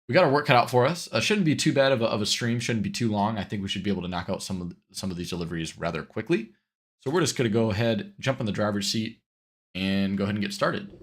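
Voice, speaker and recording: A man with a congested voice from a cold, rambling easily through casual commentary while streaming a driving game. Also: sits low. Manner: rambling, self-correcting, easygoing.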